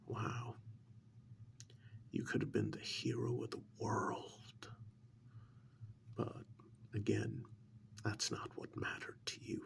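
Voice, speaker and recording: A man playing a sly, insinuating interrogator in an ASMR role-play, speaking in a slow, deliberate, soft whisper with mock admiration and dramatic pauses. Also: on the low side, flat delivery, exaggerated voice. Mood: quiet insinuation. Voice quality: breathy whisper with gravelly creak. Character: sly, insinuating male interrogator needling a mad scientist.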